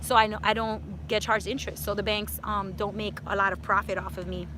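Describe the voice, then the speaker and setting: A woman's voice: medium pitch, flat delivery, brisk, background noise. A woman of about thirty from New City, chatting casually in an outdoor Q&A vlog and dropping in Spanish words. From New York City. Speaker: personal finance YouTuber. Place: outdoors in hot sun.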